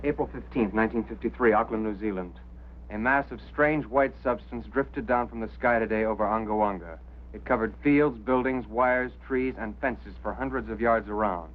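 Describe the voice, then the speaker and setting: Actor as an earnest radio operator, tinny recording. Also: male voice, lowish voice, normal speed, natural voice. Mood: earnest recital. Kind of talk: 1950s drama acting. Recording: tinny, hissy vintage soundtrack with hum.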